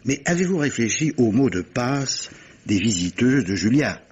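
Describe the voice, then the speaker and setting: Man acting a suave, animated gentleman sleuth. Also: medium pitch, moderate pace, close to an everyday voice. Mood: animated, teasing. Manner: courtly, theatrical, savouring his words. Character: urbane, clever gentleman captain and amateur sleuth, suavely teasing. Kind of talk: 1950s French radio mystery acting. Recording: muffled vintage radio recording, faint noise.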